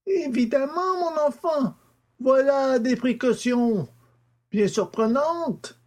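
Man voicing a high-pitched distressed old father. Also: slow pace. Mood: astonished. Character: aged professor father, distressed, reproachful.

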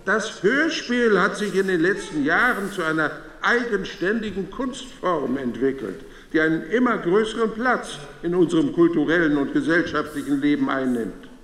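A man playing an earnest radio author giving a formal, measured speech in a reverberant hall. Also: fairly high pitch, expressive, fairly bright tone.

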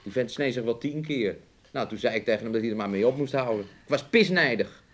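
A man's voice: mid-range voice, expressive, a little fast, lightly acted. An actor in a Dutch radio drama playing a volatile, taunting working-class man who swings between scorn and coaxing. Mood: scornful, boastful.

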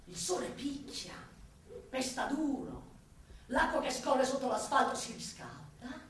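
An actress playing an impassioned woman torn between love and exasperation for her city, in a declamatory radio monologue with drawn-out, repeated words. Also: sits high, very animated, fairly bright tone, a little hiss or hum, exaggerated voice. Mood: feverish, ominous. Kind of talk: dramatic theatrical radio monologue. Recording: roomy echo, distant from the mic.

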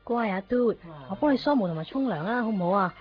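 A woman acting a gentle, coaxing young dog lover in a radio drama, with a Hong Kong Cantonese accent. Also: on the high side, expressive, fast, natural voice. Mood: coaxing, affectionate. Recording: dull lo-fi radio recording, music behind.

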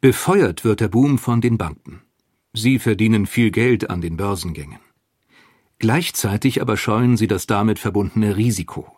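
A man narrating a history documentary in a calm, even, scripted way. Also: lowish voice, moderate pace, breathy edge, dry recording, clean studio sound.

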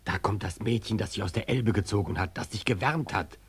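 Inner voice, radio drama, extremely breathy, low-leaning, calm, insistent, hushed. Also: male voice, brisk, no room sound. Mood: soothing insistence. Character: calm, mysterious inner voice urging faith in people. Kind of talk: German radio drama acting.

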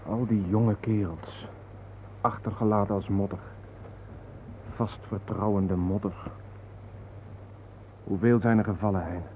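An actor playing a troubled, weary family man, earnest, halting and defensive, in a naturalistic radio drama. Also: on the low side, fairly even intonation, warm tone, lightly acted. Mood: shaken. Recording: tinny narrow-band old radio recording, hissy.

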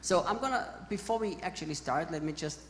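Man, Austrian accent, preacher, gravelly voice, faint hum behind, short phrases. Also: on the high side, animated. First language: German. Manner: speaks in short phrases, pausing for translation, plain and joking. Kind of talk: sermon with live interpreter. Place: church hall in Brazil.

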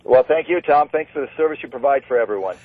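A man's voice: mid-range voice, lively intonation, fast talker, dry recording. A man in his forties calling in to a radio show, effusive and full of praise. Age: 40s. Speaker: caller. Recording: phone line.

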